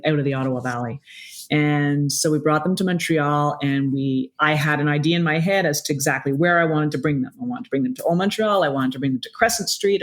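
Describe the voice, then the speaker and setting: A middle-aged woman from Quebec with a low voice, chatting casually as a musician guest, often stopping and restarting. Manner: hesitant, many restarts and fillers. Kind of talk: casual interview chat.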